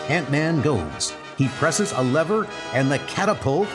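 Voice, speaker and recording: Slow, animated male comic book narrator. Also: fairly high pitch, music in the background.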